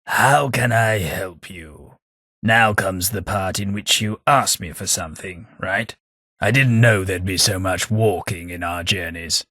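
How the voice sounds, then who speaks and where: A man voicing a posh, sardonic British gentleman companion in a game, with a British accent. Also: low-pitched, measured pace, no echo, full character voice. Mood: wry. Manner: dry, wry, posh and sardonic. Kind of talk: game voice lines.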